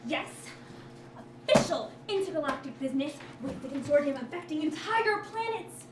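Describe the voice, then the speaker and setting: An earnest female investigator acted by a woman pleads and challenges in a high voice. The staged sci-fi reading is picked up from afar with clear noise. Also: animated, moderate pace. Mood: nervous. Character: earnest, indignant young female investigator confronting a scientist. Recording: distant, echoey live room with clear noise.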